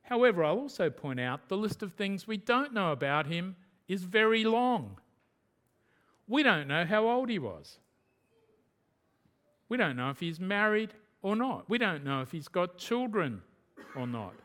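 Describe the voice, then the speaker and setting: An Australian man delivering a sermon as the pastor, his voice sitting a touch higher than most men's. He speaks earnestly and emphatically, leaning into his main points and repeating them for weight. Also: big pitch swings, measured pace, long pauses, fairly bright tone. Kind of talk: expository sermon.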